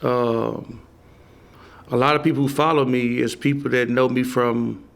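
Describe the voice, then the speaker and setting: A man's voice: mid-range voice, steady tone, measured pace, vocal fry. A man in his forties, a playwright guest on a podcast, talking loosely and emphatically with lots of "you know" and easy laughs. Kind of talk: casual conversation.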